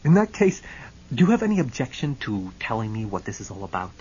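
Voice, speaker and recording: A man voicing a hot-tempered, indignant man who sputters and shouts, on a muffled lo-fi recording. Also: fairly low pitch, very animated, fast talker, husky. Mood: wary.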